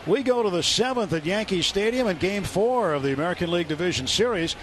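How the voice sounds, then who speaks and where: A man's voice: high voice, fast talker, faint music behind. A man calling baseball play-by-play live on the radio in short, clipped phrases. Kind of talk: live radio sports commentary. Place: stadium broadcast booth. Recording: steady stadium noise behind voice. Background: ballpark ambience.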